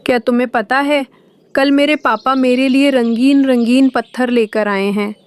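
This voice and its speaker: A woman's voice: sits high, fairly even intonation, moderate pace, natural voice. A woman voicing a young boy crow in a cartoon, curious and envious, with a childlike wheedling manner. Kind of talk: Hindi moral cartoon voice acting.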